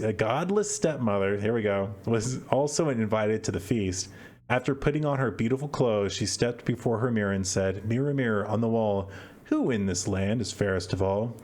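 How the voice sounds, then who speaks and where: A man hosting a storytelling show, reading a fairy tale aloud in an informal, conversational way. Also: fairly low pitch. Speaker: host and storyteller.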